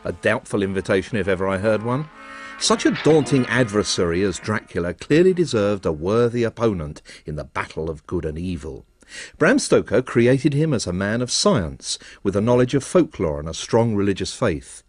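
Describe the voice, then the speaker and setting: A man narrating a radio arts documentary in a wry, measured storytelling manner. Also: on the low side, very animated.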